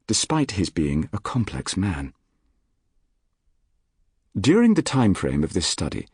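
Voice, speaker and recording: A man reading a nonfiction audiobook in a measured, articulate, formal manner. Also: low-pitched, darkish tone, husky, no echo, studio quality. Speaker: audiobook narrator. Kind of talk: scripted nonfiction audiobook narration. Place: dry recording studio.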